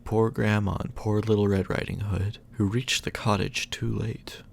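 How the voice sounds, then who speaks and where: A man's voice: on the low side, level tone, moderate pace, creaky, slight background noise. A man doing affectionate ASMR bedtime storytelling as a boyfriend, in a soothing manner.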